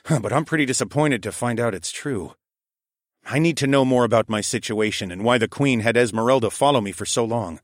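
A determined, eager fantasy hero who leads others, as acted out by a man narrating an audiobook. The voice is male and medium in pitch, recorded dry and close. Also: a little fast, no room sound, lightly acted. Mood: earnest. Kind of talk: audiobook character dialogue.

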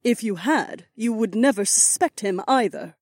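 A woman playing a poised, gentle young princess in a dramatised fantasy audiobook, speaking with composure. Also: fairly high pitch, animated, normal speed, no room sound, studio quality. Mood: composed, assured.

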